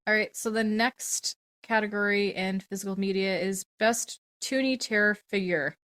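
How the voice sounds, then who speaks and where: A woman's voice: mid-range voice, moderate pace, fairly bright tone. A woman hosting a podcast in casual chat, presenting award categories in an informal, casually profane way. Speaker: podcast host.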